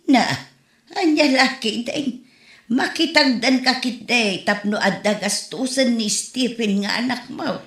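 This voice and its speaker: A woman's voice: a little high, unhurried, a bit bright. A woman playing an exasperated, scolding mother of grown daughters in a comedy audio drama. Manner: nagging, chiding. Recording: echoey, roomy sound.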